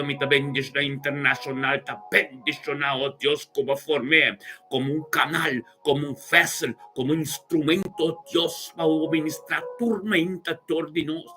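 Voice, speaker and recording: High-voiced man praying fervently over music. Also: pushed and bright. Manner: devout, repetitive invocations and exclamations. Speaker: religious worshipper leading prayer.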